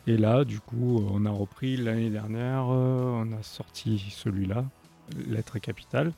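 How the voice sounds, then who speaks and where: A man being interviewed on the radio as a guest musician, relaxed and laughing easily. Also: mid-range voice, unhurried, creaky, faint music behind.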